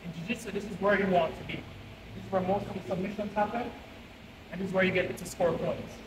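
A man's voice: high-pitched, steady tone, quick pace, distant mic. A man coaching a jiu-jitsu class, explaining positions step by step in a large indoor gym. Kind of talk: instructing a class. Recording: echoey gym with background noise.

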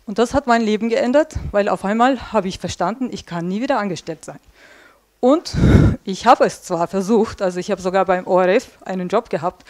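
A woman gives a casual talk at a small evening event. She speaks into a headset mic in a dim room, padding her sentences with filler sounds as she sketches on a flip chart. Her pitch sits in the middle of the usual range. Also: a little fast, a little mellow. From Turkey. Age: 40s. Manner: chatty, many filler sounds, drawing on a flip chart while talking. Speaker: speaker at a tech policy evening. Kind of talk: informal conference talk.

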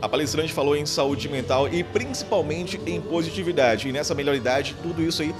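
A man's voice: a little high, fairly quick. Brazilian male reporter with music behind him. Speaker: TV reporter, interviewer. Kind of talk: conversational interview questions. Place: indoor event venue.

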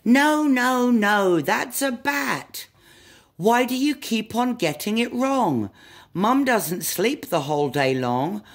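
A woman's voice: a little high, animated, slow, pitched above the performer's own voice, full character voice. A woman reading a storybook aloud as a lost, upset young monkey who protests in exasperation. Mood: indignant.

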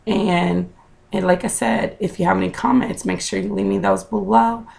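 A woman in her thirties with a raspy voice, chatting to viewers in a casual home vlog, recorded on a webcam indoors. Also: medium pitch, fairly even intonation, normal speed, darkish tone. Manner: chatty, direct to viewers, with fillers. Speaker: vlogger. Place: small room at home, indoors.

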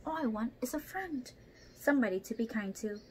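A woman's voice: fairly high pitch, lively intonation, brisk, lightly acted. A young woman voicing a lonely, earnest storybook python who speaks firmly and confidently. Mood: lonely, pleading. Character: lonely, earnest python seeking a brave friend. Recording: noisy home room recording.

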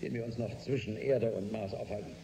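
An actor playing a formal leader chairing a spaceship crisis meeting in a German science-fiction radio drama, deliberate and official in his summing-up. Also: on the low side, fairly even intonation, vocal fry. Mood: grave, businesslike. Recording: humming noise behind the voice.

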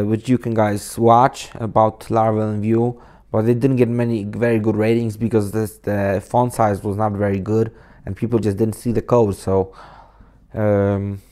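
Polish-native young man, deep mellow voice. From Poland. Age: 20s. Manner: rambling, many restarts and fillers. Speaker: coding tutorial host. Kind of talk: casual screen-recorded tutorial talk. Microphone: cheap desk microphone on a laptop.

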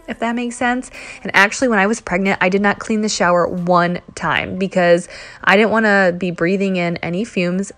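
A woman in her thirties chatting through a home cleaning vlog voice-over, talking straight to her viewers. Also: mid-range voice. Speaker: cleaning vlogger. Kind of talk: casual voice-over narration.

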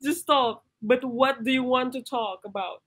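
Young woman, high voice, slow cheerful talk, noisy video call. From Indonesia. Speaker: video chat stranger. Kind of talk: casual chat.

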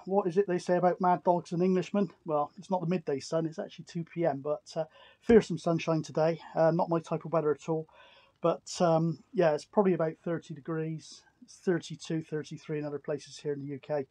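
A man's voice: on the high side, fairly even intonation, fairly quick, warm tone, no echo. A middle-aged man chatting casually outdoors as a vlogger and CB radio operator. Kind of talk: casual vlog talk to camera and radio chat. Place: outdoors on open hillside in countryside.

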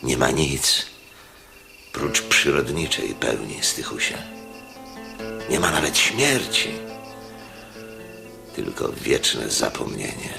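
An actor playing a weary, brooding, imperious aged Roman proconsul in a radio play, speaking solemnly and haltingly in a gravelly voice. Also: male voice, very deep voice, big pitch swings, exaggerated voice. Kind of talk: Polish radio play acting. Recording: music under the voice.

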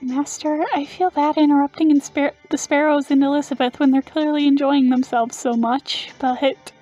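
A woman's voice: high voice, level tone, normal speed, warm tone, muffled recording, soft background music, voice pushed up, lightly acted. A woman voicing a polite, considerate young female aide in a fantasy game. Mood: apologetic.

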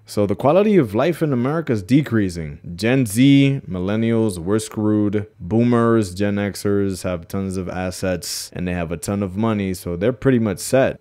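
A thirties man with a low, deep voice talks straight into a close studio mic in a small room at home. He hosts a casual reaction channel, emphatic and opinionated, often throwing rhetorical questions at his viewers. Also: darkish tone. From Dominican Republic. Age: 30s. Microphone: large dark studio mic close in front, headphones on.

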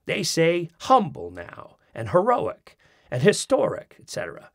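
Guy reading a funny essay, raspy voice, bouncy pitch, does little bits. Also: huge pitch swings, measured pace, no room sound. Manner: mimics accents in quoted phrases, dry wit. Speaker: audiobook narrator. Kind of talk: expressive reading of a humorous essay. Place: studio. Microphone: close studio mic.

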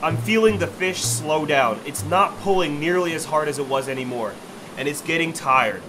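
A man's voice: fairly high pitch, moderate pace, rough recording. A young man eagerly narrating his first salmon catch as a voice-over. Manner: excited, earnest storytelling. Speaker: vlog narrator and angler. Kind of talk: voice-over narration of a fishing trip. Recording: voice-over with loud background music.

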